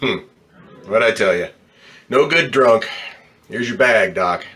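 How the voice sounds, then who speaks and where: A man playing a bitter, streetwise, wisecracking ambulance driver in old-time radio style, slangy and gruff. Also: on the low side, expressive, takes it slowly, a bit bright. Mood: scornful, impatient.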